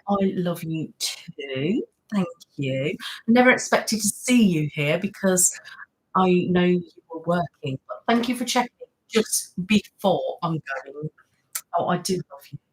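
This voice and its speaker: A soft-spoken woman chats casually on her ASMR live stream. She greets her viewers by name and answers their questions in a relaxed, rambling way, with plenty of fillers. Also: fairly low pitch, poor audio. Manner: chatty, reads and greets viewers' comments. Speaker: ASMR channel host.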